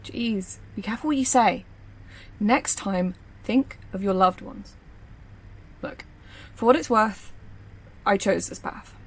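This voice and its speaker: A woman's voice: mid-range voice, takes it slowly, pauses often, low-quality recording. A woman playing a confident, observant security operative in an audio drama, with a blunt, dry way of talking. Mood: earnest, guarded. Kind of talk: naturalistic audio drama acting.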